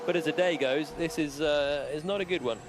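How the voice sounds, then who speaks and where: A man's voice: on the high side, brisk, noisy background. A man giving conversational live analysis as a co-commentator on a motor racing broadcast.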